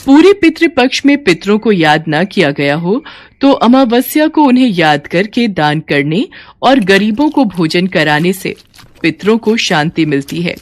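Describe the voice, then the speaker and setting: A woman's voice: mid-range voice, sing-song, unhurried. A woman storyteller reading a scripted devotional narration.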